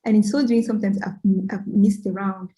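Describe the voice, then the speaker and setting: A woman's voice: medium pitch, fairly even intonation, a little fast, dark tone. Earnest middle-aged woman from Cameroon. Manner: earnest, reflective, emphatic with repeated "right?". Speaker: interviewee. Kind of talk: conversational Q&A talk, advice-giving.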